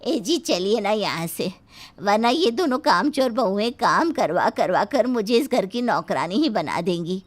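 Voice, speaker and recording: A woman's voice: mid-range voice, animated, unhurried. A woman playing a put-upon, grumbling middle-aged aunt in a moral story. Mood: indignant. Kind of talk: scripted Hindi moral story acting.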